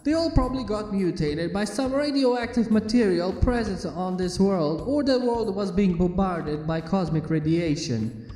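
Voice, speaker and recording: A man's voice: high voice, normal speed, dark tone. A man narrating a scripted first-person story in a wry, dramatic storytelling manner. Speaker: fan fiction narrator. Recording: background music under the voice. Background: music.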